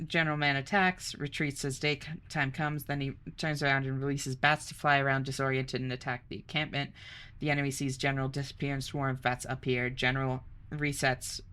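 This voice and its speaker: Bright, bubbly young woman streamer. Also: rumbling low. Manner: bubbly and chatty, laughs often. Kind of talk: casual live-stream chat, reading chat aloud.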